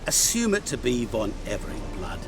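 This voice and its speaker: An actor playing a sly, genteel and mysterious tempter in a video game, with a teasing, condescending, sing-song delivery. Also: male voice, medium pitch, wildly swooping pitch, moderate pace, background noise.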